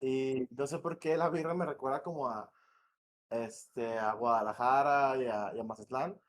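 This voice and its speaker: A man from northwest Mexico with a northern Mexican accent, a game director talking as a podcast guest in a relaxed, slangy, rambling way. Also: medium pitch, moderate pace, a little hiss or hum. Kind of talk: casual podcast interview. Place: home in Morelia.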